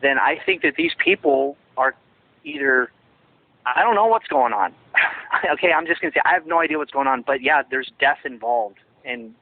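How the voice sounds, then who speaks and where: A man's voice: a little high, expressive, moderate pace, no echo. A man giving a phone interview, talking in a rambling, emphatic way with many restarts. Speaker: interviewee, former associate of a ministry. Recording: tinny phone line, dry.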